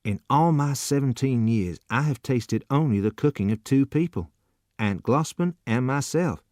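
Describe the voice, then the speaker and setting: A man voicing a naive, eager seventeen-year-old boy who exclaims with wide-eyed excitement. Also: medium pitch, moderate pace, no room sound, clean studio sound, lightly acted.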